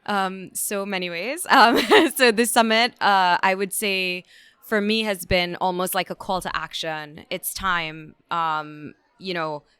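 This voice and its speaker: A woman from Trinidad answers interview questions with earnest, halting speech full of fillers. Her voice is fairly low but bright and crisp, recorded close and dry. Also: no room sound. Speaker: architect, interview guest.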